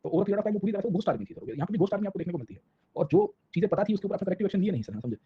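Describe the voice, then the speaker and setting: A man's voice: high-pitched, animated, rapid-fire, slightly rough, dry recording. A man with an Indian accent giving an informal history lecture, switching between two languages and constantly tossing in check-in questions and tag words. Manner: mixes Hindi and English. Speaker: history teacher. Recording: dull lo-fi audio.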